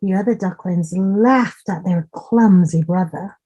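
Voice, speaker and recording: A woman storyteller reading a children's story in a deliberate, expressive manner, with asides and questions to young listeners. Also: fairly low pitch.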